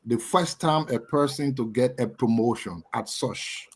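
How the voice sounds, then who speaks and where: A man's voice: medium pitch, measured pace, slightly rough. A young adult man with a Liberian accent, co-hosting a casual live talk show and chatting with frequent laughs. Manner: talkative, laughs often. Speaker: co-host. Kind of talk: casual chat.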